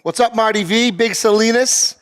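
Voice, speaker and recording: A man's voice: high-pitched, moderate pace, clear, resonant tone. A man co-hosting a casual show, tossing in short, informal interjections and banter. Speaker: co-host.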